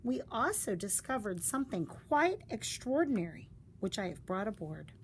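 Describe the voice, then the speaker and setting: A woman reading a story aloud in a deliberate, dramatic storytelling style. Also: mid-range voice, very animated, poor audio. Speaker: storybook reader. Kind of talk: expressive read-aloud of a story. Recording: faint background noise.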